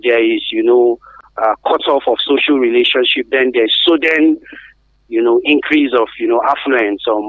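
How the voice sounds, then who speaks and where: A man's voice: medium pitch, normal speed, dry recording. A man with a Nigerian accent speaking as an expert guest in a radio interview, explaining things emphatically and often answering his own questions. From Africa. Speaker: guest expert on survivors of trafficking. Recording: thin, phone-like radio line.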